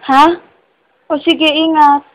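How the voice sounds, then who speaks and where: A woman's voice: high-pitched, level tone, slow pace. A woman playing a poor, hesitant young working student in a radio drama, polite and self-effacing, with a Filipino accent. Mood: reluctant, polite.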